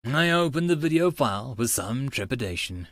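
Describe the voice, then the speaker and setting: Expressive male narrator with lively pitch. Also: moderate pace, no room sound, studio quality. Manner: expressive, with informal asides. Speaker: audiobook narrator. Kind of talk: first-person narration of a visual novel.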